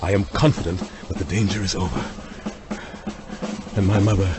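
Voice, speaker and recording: An actor playing an intense, vengeful doctor who hunts vampires, terse and melodramatic in an audio drama. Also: male voice, deep voice, normal speed, natural voice. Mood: brisk, satisfied. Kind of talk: theatrical audio drama acting. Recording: loud music and crowd over voice. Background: loud music and crowd noise.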